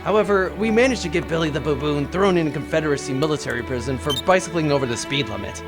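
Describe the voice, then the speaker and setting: A man's voice: a little high, quick pace, music in the background, lightly acted. A man playing a flippant, verbose space adventurer in a comic audio drama, with theatrical delivery. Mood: dismissive. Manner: theatrical, wordy.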